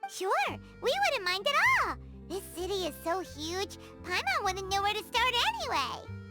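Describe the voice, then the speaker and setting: A woman voicing a tiny, chirpy fairy companion in a video game, with a squeaky childlike voice and a perky manner. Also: very animated, measured pace, full character voice. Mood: curious. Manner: perky, exclamatory. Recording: music under the voice.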